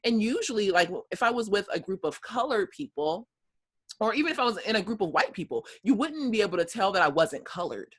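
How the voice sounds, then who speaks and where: A woman's voice: mid-range voice, sing-song, fairly quick, husky. An American woman in her thirties, a guest telling her story in casual conversation, with many fillers and easy laughs. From United States.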